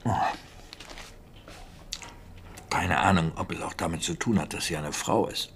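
An actor playing a talkative, defensive wartime British intelligence officer in a German radio drama, speaking conversationally and emphatically. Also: male voice, low-pitched, brisk, gravelly, a bit bright, a little hiss or hum. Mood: scoffing, defensive. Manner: conversational, emphatic, with hesitations and scoffing.

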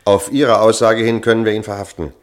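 An actor playing a seasoned, matter-of-fact police sergeant in a German crime radio play, measured, dryly cynical and authoritative. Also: male voice, on the low side, flat delivery, close to an everyday voice. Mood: dry, cautioning.

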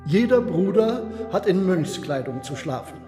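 An actor playing a stern, formal medieval Benedictine abbot who speaks solemnly in a radio drama. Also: male voice, high voice, big pitch swings, normal speed, dark tone. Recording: long reverberant hall, music behind.

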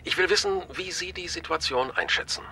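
Bright, forceful male boss. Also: high voice, fairly quick, a little hiss or hum, full character voice. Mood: pointed, businesslike. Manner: polite but pointed, authoritative. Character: brisk, shrewd male media boss, wryly amused, decisive. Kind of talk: radio drama acting.

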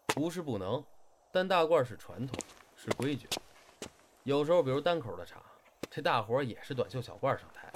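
Steady, fond young man male actor, matter-of-fact, northern Chinese accent, expressive intonation, faint background noise, audio drama. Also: medium pitch, a little fast, close to an everyday voice. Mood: calm, fond. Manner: matter-of-fact, gently scolding. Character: steady, fond, traditional stage performer, older brother type.

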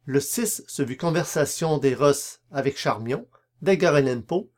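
A man's voice: fairly high pitch, lively intonation, a little slow, a little mellow. A man hosting a podcast, reading out announcements in a scripted presenting style. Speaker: podcast host, interviewer. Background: faint music.

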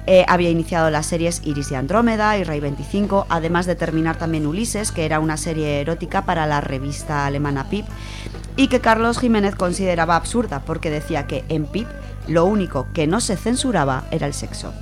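A woman's voice: low voice, fairly quick. A woman with a Spain Spanish accent presenting an informal radio segment, chatting to listeners with familiar, colloquial asides. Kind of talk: informal talk from notes, biographical radio segment. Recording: music playing behind the voice. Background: music.

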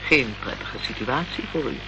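A woman's voice: extremely low pitch, animated, moderate pace, creaky, full character voice. An actress playing a cool, guarded woman of a political movement in a radio play, formal and evasive. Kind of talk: Dutch radio play acting. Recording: dull, noisy old radio play recording.

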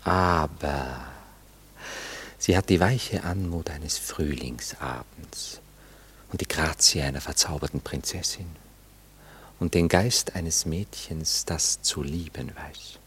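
An actor in a classic radio play playing a melancholy, ironic gentleman, wry and formally polite. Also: a bass voice, slow pace, slightly rough, exaggerated voice. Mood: tender, wistful. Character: melancholy, ironic gentleman, frivolous romantic turned mocker.

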